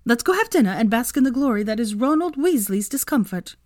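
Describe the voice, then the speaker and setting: A woman voicing an adult female teacher who is brisk and gleeful, with a high, emphatic delivery. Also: animated, darkish tone, no echo, clean studio sound, natural voice.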